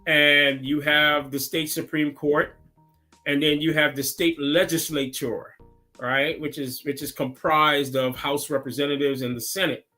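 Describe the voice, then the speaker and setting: A man's voice: a little high, flat delivery, bright, forceful tone, soft background music. Man from Guyana explaining civics. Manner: measured, explains step by step with tag questions. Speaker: city council member guest. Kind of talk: explanatory interview answers.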